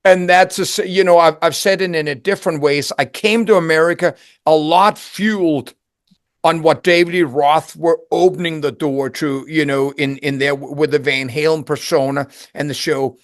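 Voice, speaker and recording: A man's voice: a little high, steady tone, dry recording. Danish-accented older man, dry sound. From Denmark. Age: 60s. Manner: halting, repeats words, searches for phrasing. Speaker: interview guest, singer. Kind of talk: reflective conversational interview.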